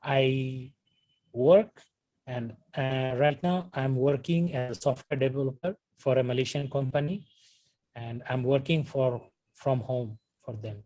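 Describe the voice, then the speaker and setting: A man's voice: medium pitch, a little slow, dark tone, low-quality recording. A young man with a Bangladeshi accent, answering a mock speaking exam with many fillers and restarts. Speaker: test candidate.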